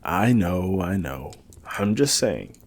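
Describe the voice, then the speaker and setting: A man playing a learned, guarded older mage in a fantasy audio drama, speaking formally and deliberately in a gravelly, husky voice. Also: sits low, big pitch swings, heavily acted. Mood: impressed, fond. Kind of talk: fantasy audio roleplay acting.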